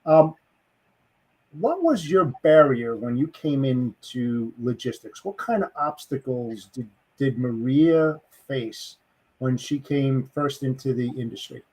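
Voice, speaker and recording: Husky-voiced male podcast host. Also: mid-range voice, lively intonation, takes it slowly, darkish tone, low-quality recording. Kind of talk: podcast interview.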